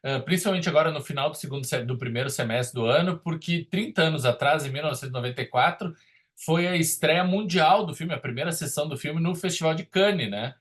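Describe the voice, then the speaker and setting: A man's voice: a little high, brisk, fairly bright tone. A middle-aged Brazilian man co-hosting a podcast as a film critic, giving casual conversational commentary.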